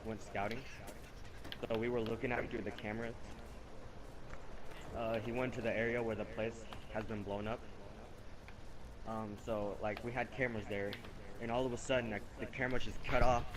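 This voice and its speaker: A young man improvising a casual, rambling story over video game footage, earnest and repetitive as he goes. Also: fairly low pitch. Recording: noisy home game-capture microphone.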